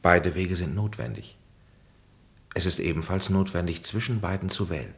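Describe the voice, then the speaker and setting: Deep, creaky, solemn counselor. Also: male voice. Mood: grave, measured. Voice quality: low, creaky. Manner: measured, grave, oracular. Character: solemn, fatherly male spiritual guide and counselor, Henry. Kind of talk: German radio play acting.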